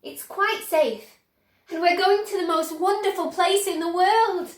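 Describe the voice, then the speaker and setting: A woman voicing an excited, eager young boy in a children's storybook, gushing and exclaiming. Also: very high voice, unhurried, far from the mic, voice pushed up. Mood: reassuring. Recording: roomy, echoing indoor sound.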